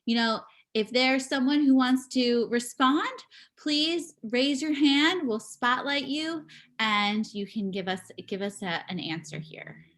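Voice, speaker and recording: A woman giving a live spoken interpretation of a signing performer, speaking in halting bursts with pauses and restarts, over a video call. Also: sits high, a bit bright. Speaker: sign language voice interpreter.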